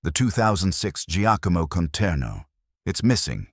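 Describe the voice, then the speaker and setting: A narrator, a man, plays a worried household cook in a detective audiobook. He sounds earnest and defensive, in a low, husky voice with animated pitch. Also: normal speed, dry recording, studio quality, exaggerated voice. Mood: anxious. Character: anxious, earnest male household cook protesting innocence.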